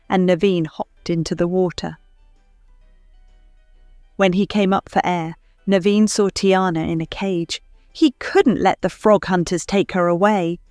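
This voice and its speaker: A woman reading a scripted children's story as narrator, in an expressive storytelling manner. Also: medium pitch, no room sound, studio quality. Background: faint music.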